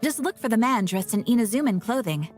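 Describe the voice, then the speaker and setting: A woman's voice: fairly high pitch, lively intonation, brisk, a little mellow, natural voice. A woman voicing a polite, businesslike guild receptionist in a video game, helpful and informative. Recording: faint music behind the voice.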